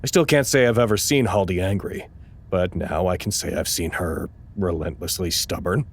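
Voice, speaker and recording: A man playing an earnest, anxious research-site staffer in an audio drama, with a measured, hesitant delivery. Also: sits low, a little hiss or hum. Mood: sober, weary reporting. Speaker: actor in an audio drama podcast. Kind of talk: naturalistic audio drama acting, log narration and dialogue.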